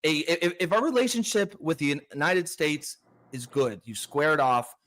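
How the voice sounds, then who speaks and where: A Canadian man chatting about politics with a sarcastic, mocking edge. He trips over restarts and fillers, and his voice sits a little high for a man. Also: expressive, measured pace, fairly bright tone. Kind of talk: casual political chat.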